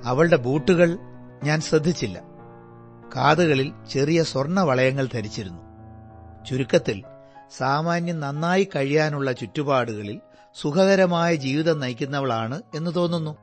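A man playing an earnest doctor, a detective's attentive companion, in a radio drama, with measured, descriptive delivery. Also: fairly high pitch, faint music behind, lightly acted. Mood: earnest, observing.

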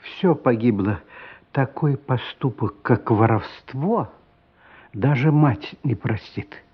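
A man narrating a radio play as a dramatic, expressive storyteller. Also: mid-range voice, slow, breathy edge. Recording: muffled radio play recording.

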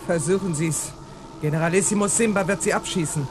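An actor playing a smug, querulous and condescending villainous doctor in a German sci-fi radio play. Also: male voice, high voice, a little fast, background noise, natural voice. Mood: irritated.

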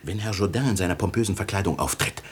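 An actor playing a sly servant who poses as a pompous Turkish mufti, declaiming and chanting theatrically in a 1960 German radio comedy. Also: male voice, sits low, quick pace, echoey room, natural voice. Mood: amused, conspiratorial. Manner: declamatory, chanting mock-foreign broken German. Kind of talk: 1960s German radio comedy acting, half sung ceremonial chant. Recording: 1960 studio radio play, loud music behind.

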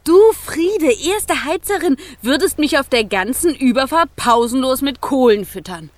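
A woman's voice: high-pitched, animated, measured pace, no echo. Small female fire spirit, bold, wide-eyed, yearning, swooping intonation, dry close studio sound. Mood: coaxing. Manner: exclamatory, coaxing, wide-eyed. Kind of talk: children's radio play acting.

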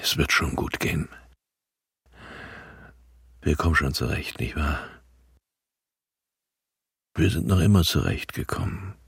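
An actor playing a gruff, laconic, loyal friend in a German radio crime drama, with a deep husky voice and terse delivery. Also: male voice, slow pace, long pauses, fairly bright tone, no room sound, clean studio sound, full character voice. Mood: resigned, reassuring. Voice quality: deep, gravelly husk.

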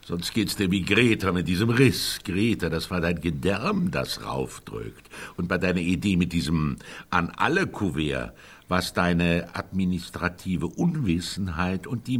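An actor playing a sceptical, pedantic elder brother in a German radio drama, sarcastic and husky. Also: male voice, low voice, heavily acted. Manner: sarcastic, lecturing.

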